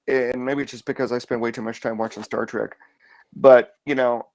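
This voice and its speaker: A man's voice: medium pitch, flat delivery, moderate pace, darkish tone, no room sound, rough recording. A man chatting casually as a panelist on a podcast discussion.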